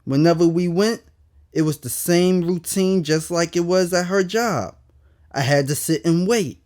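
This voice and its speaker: Man reading his memoir, slightly high voice. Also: measured pace, a little mellow. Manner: candid, colloquial storytelling with emphatic asides. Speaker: author reading own autobiography. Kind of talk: conversational audiobook narration.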